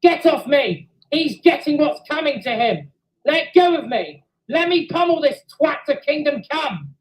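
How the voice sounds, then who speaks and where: A narrator voicing an enraged old male railway engine who shouts and snarls threats in a fan railway story. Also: medium pitch, lively intonation, a little slow, lightly acted, rough recording. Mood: furious.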